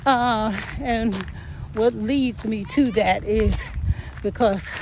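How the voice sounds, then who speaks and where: An older woman chatting casually while walking uphill outdoors, a bit hoarse and winded, rambling with lots of fillers. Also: fairly high pitch, slow, noisy background. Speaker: vlogger. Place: outdoors in a park field.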